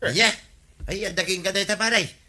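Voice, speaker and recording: An actor playing a pushy, jolly friend in an Ilocano radio comedy drama. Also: male voice, high voice, normal speed, sharp tone, full character voice. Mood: insistent.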